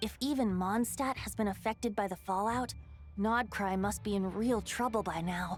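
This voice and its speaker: A woman's voice: fairly high pitch, a little fast, soft background music, natural voice. An actress playing a composed, playful, knowing woman who advises heroes in a video game, with polished delivery. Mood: knowing, helpful.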